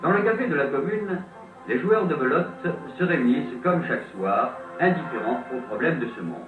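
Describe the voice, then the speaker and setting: Tinny vintage male newsreel narrator, animated pitch. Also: normal speed, over music. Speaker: documentary narrator. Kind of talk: scripted 1950s French newsreel narration. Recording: old tinny band-limited film soundtrack, roomy, distant.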